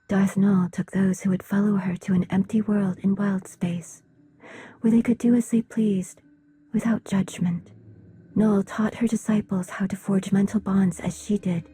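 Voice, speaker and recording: An actress playing a solemn, secretive mystic in a game cutscene, husky and breathy, speaking in a measured, formal way. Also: female voice, medium pitch, fairly even intonation, dark tone. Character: solemn mystic seer, grave and secretive woman. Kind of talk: dramatic video game cutscene acting.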